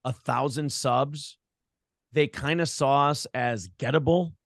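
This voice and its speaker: A man's voice: mid-range voice, slow pace, no echo, clean studio sound. An American man talking casually as an interview guest, blunt and opinionated, with profanity and the practised talk of a radio show host. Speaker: morning show host and former radio broadcaster.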